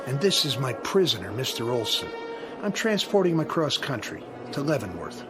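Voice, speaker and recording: An actor playing a cocky, laid-back American federal agent in a radio drama, with a casual wisecracking manner. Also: male voice, medium pitch, very animated, normal speed, music in the background, close to an everyday voice. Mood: cocky, relaxed.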